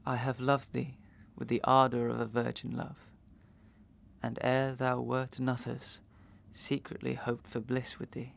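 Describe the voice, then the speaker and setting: An actor playing an anguished, wronged young gentleman in a Victorian audio melodrama, speaking in declamatory, archaic, impassioned style with a creaky voice. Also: mid-range voice, completely flat delivery, a little slow. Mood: mournful farewell. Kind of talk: Victorian stage melodrama acting in an audio drama.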